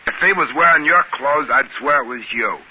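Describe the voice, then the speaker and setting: An actor playing a plain, folksy trading-post worker who also narrates a 1950s science fiction radio drama. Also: male voice, a little high, a little fast, a little hiss or hum, close to an everyday voice. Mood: easygoing. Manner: folksy, colloquial. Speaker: narrator and character. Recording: muffled old radio broadcast with music.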